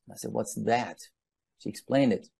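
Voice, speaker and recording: A man in his fifties from Iran speaking as a guest, telling personal stories and explaining in a deliberate, emphatic manner with rhetorical asides. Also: lowish voice, creaky, mellow, slightly rough, no echo. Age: 50s. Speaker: guest speaker on palm leaf reading.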